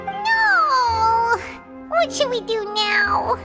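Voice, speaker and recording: A woman voicing a small, excitable female fairy companion who talks in a high, squeaky, fretful way. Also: very slow, loud music behind the voice, full character voice. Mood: alarmed.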